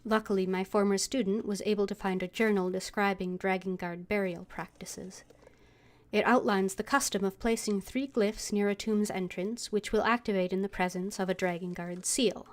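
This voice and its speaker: A woman's voice: mid-range voice, fairly even intonation, normal speed. A woman streaming a video game, reading game text aloud and voicing her thoughts as she plays. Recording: game music behind the voice. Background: video game music.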